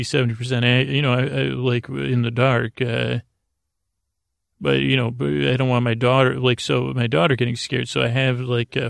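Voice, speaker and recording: Male sleep podcast host: deeply creaky, gravelly voice, halting and whimsically rambling, recorded dry. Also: no room sound. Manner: meandering, halting, full of self-interruptions and silly asides. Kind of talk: rambling, whimsical bedtime monologue.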